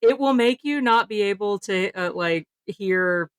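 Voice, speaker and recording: A woman's voice: medium pitch, unhurried, clear, resonant tone, no room sound, studio quality. A woman co-hosting a casual podcast discussion, informal and giggly, with lots of "like" in her speech. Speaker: podcast co-host.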